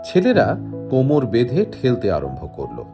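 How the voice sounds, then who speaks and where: A man narrating a literary story from a script in an expressive way. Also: mid-range voice, moderate pace. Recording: music behind the voice. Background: plucked guitar-like music.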